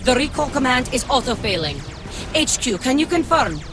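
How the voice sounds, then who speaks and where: High, expressive woman mech commander. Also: normal speed, very noisy. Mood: urgent, firm. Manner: formal, clipped military radio reporting. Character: disciplined female mech commander reporting from battlefield. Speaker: military commander. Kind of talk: video game voice acting.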